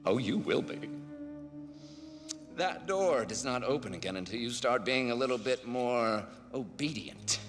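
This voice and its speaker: An actor voicing a flamboyant, sadistic male villain in a video game, lewd and taunting, with drawn-out words. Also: medium pitch, animated, a bit bright, faint music behind. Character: leering, sadistic, flamboyant male psychopath in fetish gear. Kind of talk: video game cutscene acting. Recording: long hall reverb.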